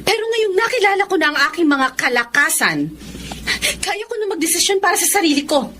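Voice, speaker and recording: A woman playing a resolute wronged wife in a Filipino audio melodrama, with an emphatic, deliberate delivery and a Filipino accent. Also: very high voice, very animated, pushed and bright, a little hiss or hum, exaggerated voice. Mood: defiant, hurt. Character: wronged wife Samantha, resolute, standing up to abusive husband. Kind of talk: Filipino radio-style melodrama acting.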